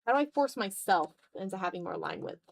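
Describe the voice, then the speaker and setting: A woman's voice: mid-range voice, wildly swooping pitch, fairly quick, gravelly. A woman livestreamer chatting casually while drawing, thinking aloud in fragments with a self-deprecating manner. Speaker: art livestreamer.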